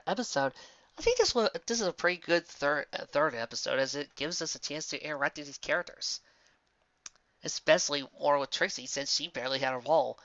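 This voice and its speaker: A woman's voice: low-pitched, fairly bright tone, no room sound. A woman giving a casual, chatty review to an audience, speaking emphatically with frequent restarts. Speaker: fan fiction reader and reviewer. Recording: dull lo-fi dry close recording.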